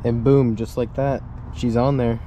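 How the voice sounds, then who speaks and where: A man's voice: mid-range voice, flat delivery, measured pace, warm tone, a little hiss or hum. A young man casually narrating a fishing vlog outdoors and chatting to his viewers in an upbeat way. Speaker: fishing vlogger. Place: outdoors by a saltwater creek.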